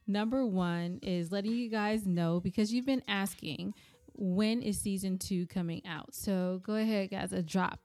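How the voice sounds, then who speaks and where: A woman co-hosting a podcast in casual banter, playful, teasing and excitable. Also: mid-range voice, unhurried. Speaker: podcast co-host. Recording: music under the voice.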